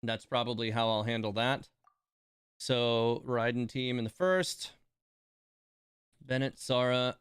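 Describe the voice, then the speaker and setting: A man doing casual live gameplay commentary, rambling and thinking out loud. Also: on the low side, clear, resonant tone, dry recording, clean studio sound. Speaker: live streamer.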